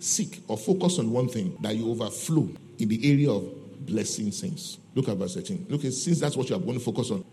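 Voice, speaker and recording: A man preaches with a Nigerian accent in a mellow, mid-pitched voice that rises and falls freely. He teaches emphatically, repeating key phrases and posing questions that he answers himself. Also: a little hiss or hum. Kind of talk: teaching sermon with scripture readings and questions to listeners.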